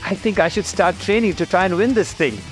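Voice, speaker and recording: A man with an Indian English accent presenting and commentating a fitness race in an upbeat, excitable way. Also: sits high, brisk, soft background music. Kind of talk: scripted sports commentary.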